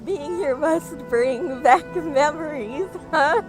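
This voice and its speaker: A woman's voice: high-pitched, slow pace, over music. A woman game streamer giving casual live commentary as she plays.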